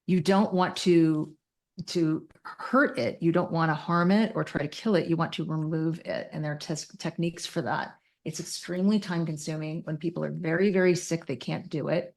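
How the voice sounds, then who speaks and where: A woman's voice: low-pitched, fairly even intonation, normal speed, a little mellow. A woman speaking as an interview guest, explaining things in detail with technical terms and emphasis. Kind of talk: explanatory interview talk from personal and clinical experience.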